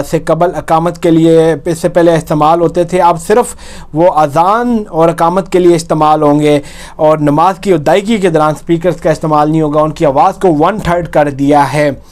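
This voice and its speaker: A man with a Pakistani accent delivers a news report with commentary. His voice is fairly high for a man and notably clean and smooth. He explains as he goes, often rewording and repeating a point. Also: darkish tone.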